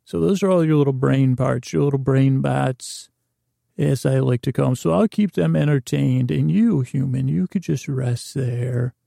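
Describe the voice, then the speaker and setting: Very gravelly, mellow man rambling softly. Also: mid-range voice, no echo, clean studio sound. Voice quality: gravelly, creaky. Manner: halting, meandering, repeats and trails off. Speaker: podcast host. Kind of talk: rambling, soothing bedtime monologue.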